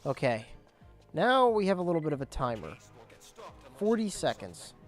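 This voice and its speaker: A young man casually commentating his gameplay, explaining objectives as he goes, his voice cracking and squeaking now and then. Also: huge pitch swings, moderate pace, faint music behind. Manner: chatty, explains objectives as he plays. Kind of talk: casual let's play commentary.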